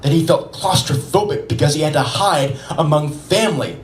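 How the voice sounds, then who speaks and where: A man's voice: fairly high pitch, normal speed. A man reading spoken-word poetry at a live open mic, with an earnest, emphatic and dramatic delivery. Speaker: poet and open mic co-host. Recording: roomy, noisy live venue recording.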